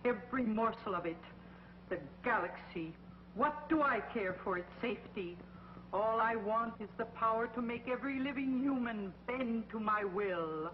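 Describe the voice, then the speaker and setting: A woman's voice: a little high, moderate pace, a little hiss or hum, natural voice. Siderine, a woman playing a cold alien tyrant. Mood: commanding. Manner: commanding, gloating. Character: power-hungry female alien ruler, cold and domineering. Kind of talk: 1950s TV sci-fi acting. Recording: dull old 1950s TV soundtrack, loud music behind.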